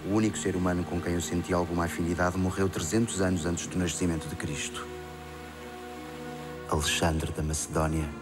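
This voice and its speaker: A man's voice: deep voice, little pitch movement, a little fast, music in the background, close to an everyday voice. A man with a European Portuguese accent playing a serene, grandiose genius tycoon in a comic drama, speaking formally and eloquently. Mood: reflective. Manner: formal, self-satisfied, eloquent monologue.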